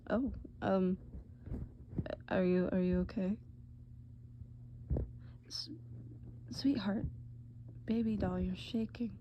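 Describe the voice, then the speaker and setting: A woman's voice: medium pitch, steady tone, warm tone, a little hiss or hum, lightly acted. Woman as a tender, worried, whispering boyfriend. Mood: worried, gentle. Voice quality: breathy, often whispering. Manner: soothing, halting, pet names. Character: tender, worried boyfriend comforting his frightened sweetheart. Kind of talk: comfort roleplay.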